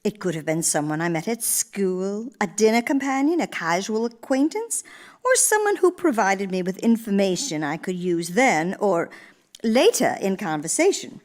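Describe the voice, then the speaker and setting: An actress playing an elderly, gracious former First Lady, speaking in a measured, genteel, reflective way as in a stage monologue. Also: mid-range voice, sing-song, close to an everyday voice. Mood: reflective, confiding. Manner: measured, genteel, deliberate storytelling. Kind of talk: theatrical one-woman monologue. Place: live radio theater performance in a church.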